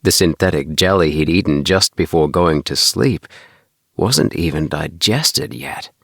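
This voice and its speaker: A man reads a bedtime audiobook in a low voice. His pace is slow and unhurried, and the sound is close and dry, with no room around it. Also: creaky, fairly bright tone, dry recording. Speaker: audiobook reader. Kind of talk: scripted bedtime story narration.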